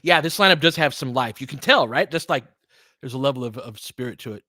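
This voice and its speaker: A man's voice: mid-range voice, expressive, quick pace, pushed and bright, no echo. A man with a mid-range voice calls basketball play-by-play from the fan's side. He talks conversationally and openly takes sides as he reacts to the action. The sound is dry, with no room around the voice. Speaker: play-by-play commentator.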